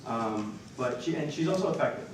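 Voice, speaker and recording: A man's voice: medium pitch, expressive, fairly quick. Young man presenting in an echoey hall. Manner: hesitant, many ums and restarts. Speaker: student government official presenting a nominee. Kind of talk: formal nomination speech. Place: large echoey meeting hall. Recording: echoey hall, far from the mic, noisy.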